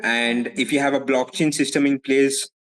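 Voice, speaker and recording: Indian-accented man, measured interview answers. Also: medium pitch, steady tone, fairly bright tone, rough recording. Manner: measured, repeats words while thinking. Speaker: foreign legal advisor, guest.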